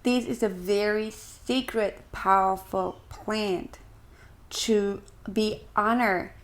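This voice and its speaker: A woman from Ecuador with an Ecuadorian Spanish accent, speaking earnestly and deliberately to viewers as a practitioner, with emphatic pauses. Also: mid-range voice, steady tone. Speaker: shamanic practitioner and video host. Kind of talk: earnest explanatory talk to camera.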